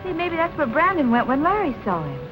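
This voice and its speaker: A woman's voice: sits high, very animated, a little fast. An actress playing an earnest, worried young woman sleuth in a vintage film noir. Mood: earnest, explaining. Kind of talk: 1940s film noir acting. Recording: muffled old film soundtrack, music underneath.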